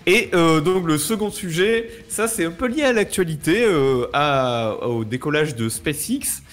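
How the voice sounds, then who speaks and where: A man's voice: a little high, lively intonation, a little slow. A man co-hosting a casual live show, informal and a little hesitant, often repeating words. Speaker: show co-host.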